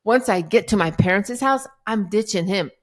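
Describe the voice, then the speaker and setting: A middle-aged woman guest on a casual podcast recounts her past with lively, dramatic storytelling. Her voice sits in a middle range, neither high nor low for a woman. She acts out the moments as she speaks. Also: normal speed. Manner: animated, dramatic retelling with acted-out quotes. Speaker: podcast guest, life coach.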